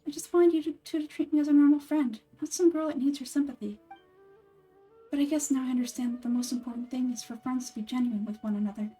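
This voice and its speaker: A woman's voice: high voice, steady tone, fast, mellow, slightly rough, soft background music. A woman voicing a shy, earnest young girl training as a forest ranger in a video game, speaking hesitantly and sincerely. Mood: earnest, apologetic.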